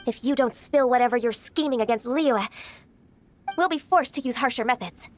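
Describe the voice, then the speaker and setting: Woman acting high-voiced, solemn, polite young official. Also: a little hiss or hum, close to an everyday voice. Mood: stern, composed. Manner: formal, measured, courteous. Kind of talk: video game voice acting.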